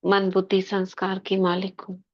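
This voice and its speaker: Slow, flat-voiced meditation guide woman. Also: mid-range voice, dull audio. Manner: slow, soft, spoken in short phrases with long pauses.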